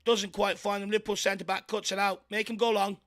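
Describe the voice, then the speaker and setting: Irish man, fan match commentary, high pitch, croaky worn voice, bright edgy tone. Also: brisk. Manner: excitable partisan, shouts encouragement at players. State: voice going croaky from hours of talking. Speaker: fan channel host doing live match commentary.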